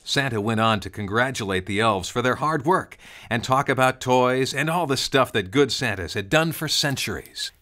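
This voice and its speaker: A man's voice: mid-range voice, expressive, normal speed, pushed and bright. A man narrating a scripted storybook tale in a dramatic storytelling style. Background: music under the narration.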